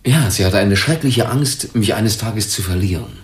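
A man acting in a radio drama as a brooding, hesitant son smothered by his mother, speaking in a halting, subdued way. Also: on the low side, echoey room, close to an everyday voice.

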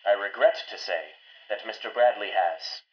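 A man's voice: lowish voice, very noisy, close to an everyday voice. A man playing a ceremonious, fussy household butler in an old-time radio melodrama. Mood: formal, grave. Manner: ceremonious, deliberate delivery. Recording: dull, band-limited old-time radio sound.

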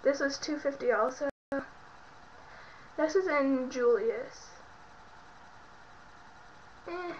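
A woman's voice: sits high, animated, takes it slowly, long pauses, slight background noise. Congested girl on a webcam. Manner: chatty, rambling, lots of fillers and self-corrections. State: sick and congested. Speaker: beauty vlogger. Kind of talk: casual product show-and-tell. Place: bedroom at home. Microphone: built-in webcam mic. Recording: muffled lo-fi webcam audio.

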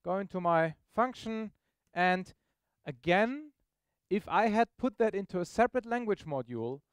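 A man's voice: sits high, lively intonation, moderate pace, clear, resonant tone, dry recording, studio quality. A man giving an informal, explanatory live technical demo to an audience, often checking in with questions. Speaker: software presenter. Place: meetup presentation room, on stage.

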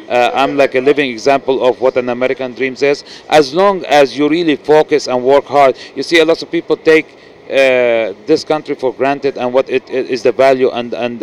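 A man's voice: medium pitch, fairly even intonation, moderate pace, slight background noise. A middle-aged man with a Lebanese accent, an actor speaking earnestly in an interview, with many restarts and fillers. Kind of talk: casual interview. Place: noisy indoor event venue. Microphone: handheld mic.

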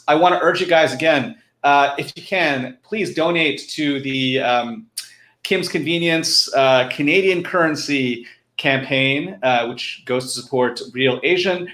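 A middle-aged man hosts an online event over a video call. His medium-pitched voice sits in an echoey room. He speaks informally and often stops to restart a phrase. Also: fairly bright tone. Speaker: host of a live online fundraiser. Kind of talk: informal live stream hosting. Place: room at home, indoors. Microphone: computer video call.

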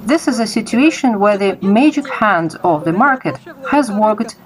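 Young woman from Kazakhstan, mid-pitched voice, informal explanatory interview delivery. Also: lively intonation, moderate pace, low-quality recording. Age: young adult. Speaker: interviewee, project creator.

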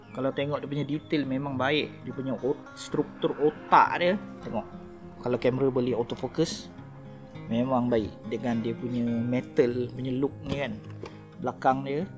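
Man, Malaysian accent, mid pitch, casual slangy reviewer, outdoor tabletop mic, clear music behind. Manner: informal, slangy Malay mixed with English words. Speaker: toy review vlogger. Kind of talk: casual unboxing commentary. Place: outdoor garden patio of a house. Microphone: camera-style shotgun mic on small tripod at the table.

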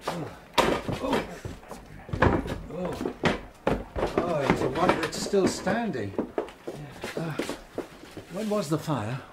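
A man playing a loyal, hesitant British gentleman doctor in a radio drama, with an expressive, slightly bumbling manner. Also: on the high side, slow, heavy background noise. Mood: shocked, curious.

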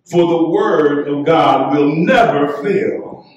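A middle-aged American man preaching as a pastor in a measured, fervent, declamatory way. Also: fairly high pitch, distant mic, lo-fi sound. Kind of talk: sermon, scripture reading and prayer. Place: church sanctuary at the pulpit. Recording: echoey church hall, off mic.

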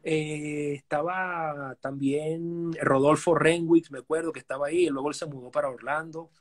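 A man's voice: a little high, fairly even intonation, normal speed. A Venezuelan man in his forties, talking casually with many fillers and restarts as a guest on a live video call from his living room. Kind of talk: casual live-stream interview.

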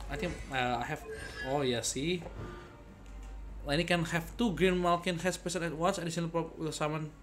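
A man's voice: fairly high pitch, steady tone, normal speed. Young male game streamer, music behind. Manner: rambling, repeats words and phrases. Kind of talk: casual gameplay commentary.

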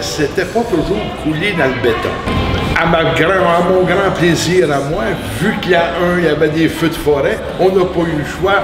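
A man with a Quebec French accent casually sharing his personal recollections of a road trip. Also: fairly high pitch. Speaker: trip participant. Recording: echoey, distant mic, music behind.

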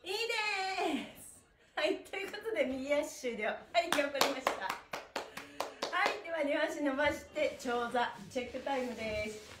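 A woman's voice: high voice, expressive, a little slow, background noise. A middle-aged woman leading a guided exercise class in an upbeat, encouraging, singsong manner. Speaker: stretching instructor. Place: small indoor room on exercise mat. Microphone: camera a few meters away. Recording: echoey room, distant camera mic.

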